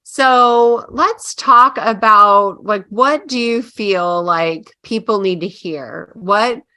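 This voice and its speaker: A woman hosts a fitness podcast, sounding upbeat and enthusiastic as she introduces and questions her guest. Her voice sits in a typical middle range for a woman, and her delivery is bright and friendly. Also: mid-range voice. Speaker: podcast host, health coach. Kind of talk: podcast hosting.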